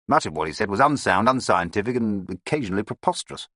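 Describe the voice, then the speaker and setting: A man voicing a skeptical, methodical male police inspector who speaks in a measured, critical way in a storybook reading. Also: on the low side, very animated, a bit bright, dry recording, clean studio sound, pitched above the performer's own voice.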